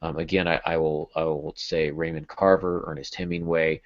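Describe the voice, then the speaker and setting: A man's voice: a bass voice, flat delivery, moderate pace. A middle-aged man hosting a podcast, giving casual writing advice and repeating phrases for emphasis. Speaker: podcast host and writing coach. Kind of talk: casual instructional talk. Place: small indoor home office. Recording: dull, lo-fi video call audio.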